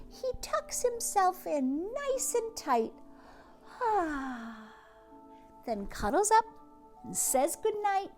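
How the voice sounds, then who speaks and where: A woman reading a children's picture book aloud, expressively, with drawn-out words and hushed asides, speaking directly to young listeners. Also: high voice. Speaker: teacher or read-aloud host reading to children.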